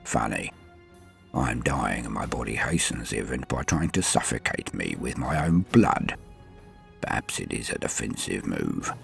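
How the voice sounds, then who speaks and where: A man voicing a centuries-old space-marine sergeant, stoic, proud and dying, in a solemn, halting audio drama monologue with a gravelly voice. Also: expressive, a bit bright, soft background music, full character voice. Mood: weary, wry. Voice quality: very deep gravelly voice. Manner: solemn, halting, dramatic delivery with swings between murmur and force. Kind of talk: scripted first-person audio drama monologue.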